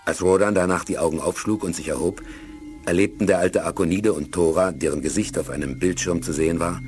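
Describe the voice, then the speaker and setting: A man narrating a 1980s German science fiction radio play in a measured, storytelling manner. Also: sits low, a little mellow, faint music behind, natural voice.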